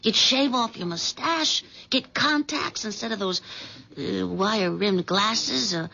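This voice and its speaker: Sly femme fatale, gravelly fry in the voice, coaxing and insinuating, muffled vintage radio play. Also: female voice, medium pitch, slow pace, sharp tone, full character voice. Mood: seductive, assured. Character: scheming, seductive woman coaxing a timid lover. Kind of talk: 1970s radio drama acting. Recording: dull lo-fi 1970s radio recording.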